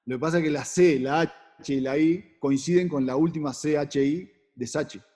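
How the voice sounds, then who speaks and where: Male guest, somewhat high-pitched, informal interview chat. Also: moderate pace, mellow. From Uruguay. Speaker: advertising agency partner, interview guest. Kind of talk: casual conversation.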